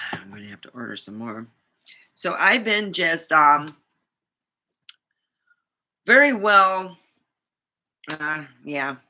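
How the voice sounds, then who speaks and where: A woman's voice: low-pitched, big pitch swings, slow, long pauses, bright, forceful tone, rough recording. A woman vlogger chatting casually and rambling, with many fillers and restarts.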